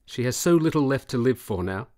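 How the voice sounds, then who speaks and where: An actor playing an earnest, persuasive military doctor in a period radio drama, formal and deliberate. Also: male voice, medium pitch, lively intonation, darkish tone, close to an everyday voice. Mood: grave, confiding. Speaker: supporting actor.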